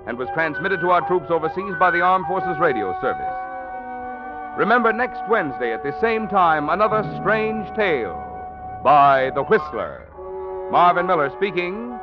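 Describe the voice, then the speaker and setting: Animated 1940s male radio announcer. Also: on the high side. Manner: polished, dramatic salesmanship. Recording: muffled vintage radio recording. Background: music.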